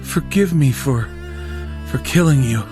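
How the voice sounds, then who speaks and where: An actor playing a mortally wounded man in an audio drama, weak and gasping, raspy, halting, with dry wry humour. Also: mid-range voice, expressive, over music, close to an everyday voice. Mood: weak, pleading. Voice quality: raspy, gravelly.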